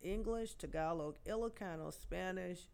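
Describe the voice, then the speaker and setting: Older woman telling stories, halting. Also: fairly low pitch, vocal fry, a little mellow. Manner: halting, many fillers and restarts. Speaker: guest poet. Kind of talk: storytelling in a podcast interview.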